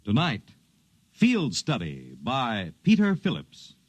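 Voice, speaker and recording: A man's voice: medium pitch, wildly swooping pitch, slow pace. A man announcing a radio series in a portentous, theatrical 1950s style. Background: loud music.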